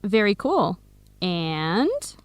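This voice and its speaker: An actress playing a teasing, protective young American woman in a radio drama, wry and clearly enunciated. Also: mid-range voice, sing-song, extremely slow, dry recording. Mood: curious. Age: young adult. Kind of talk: scripted radio drama dialogue.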